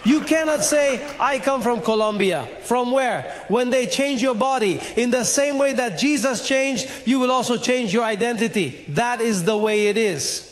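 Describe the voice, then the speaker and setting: A middle-aged man preaching a sermon on a studio stage into a handheld mic, animated and acting out dialogue, at times rising to a shout. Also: very high-pitched, normal speed, hardly any pauses, a crowd in the background, background noise. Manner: animated, gesturing, acts out dialogue, rises to shouts.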